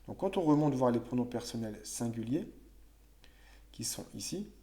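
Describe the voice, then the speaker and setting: A man's voice: medium pitch, expressive, moderate pace, warm tone. A man teaching French grammar to children in a conversational way, thinking aloud and correcting himself as he goes.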